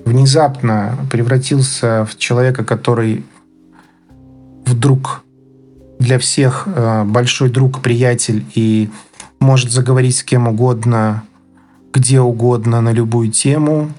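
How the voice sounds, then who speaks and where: Male interviewee, medium pitch, halting and groping for words in casual personal storytelling, music behind. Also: fairly even intonation, darkish tone. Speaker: interviewee telling his own story. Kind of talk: casual spoken recollection. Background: background music.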